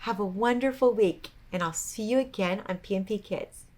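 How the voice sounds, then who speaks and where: Female storyteller for a kids' program, expressive read-aloud delivery, mid-range pitch. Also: moderate pace. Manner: expressive, child-directed storytelling with asides. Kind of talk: reading a picture book aloud to children.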